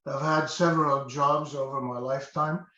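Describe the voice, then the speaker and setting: A man's voice: mid-range voice, steady tone, normal speed, pushed and bright. An older man from Egypt, a guest in a casual interview, speaking hesitantly with many fillers and restarts. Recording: echoey dull-sounding room.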